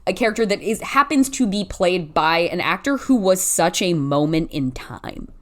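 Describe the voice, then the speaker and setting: A woman's voice: on the low side, lively intonation. A woman hosting a podcast, chatting casually and jumping in eagerly. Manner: eager, quick to jump in. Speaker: podcast host.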